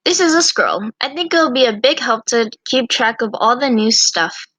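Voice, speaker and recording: A woman's voice: on the high side, lively intonation, moderate pace, sharp tone, no room sound, low-quality recording. A woman voicing a plucky, mischievous young student huntress with a breezy, slangy, teasing manner in an amateur visual novel.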